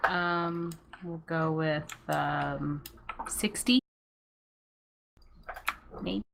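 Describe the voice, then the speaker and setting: A woman with a slightly low voice talks very slowly and tentatively. She chats casually as a game panelist. There is faint noise with keyboard clicks behind her. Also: vocal fry. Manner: tentative, hesitant. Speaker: panelist guessing in a trivia game. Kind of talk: casual chat.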